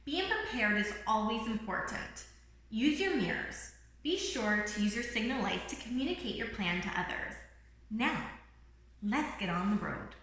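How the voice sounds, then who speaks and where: A woman's voice: fairly high pitch, expressive, normal speed, fairly bright tone. A woman voicing a cheerful, encouraging little bird guide in a children's picture book, with a bubbly, reassuring manner. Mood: calm, encouraging. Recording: roomy, echoing room sound.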